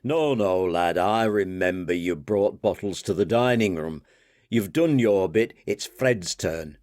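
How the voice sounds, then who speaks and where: A man's voice: sits low, measured pace, a little mellow, dry recording, clean studio sound. Man voicing a blustering, rambling old man. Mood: insistent. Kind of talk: audiobook character dialogue.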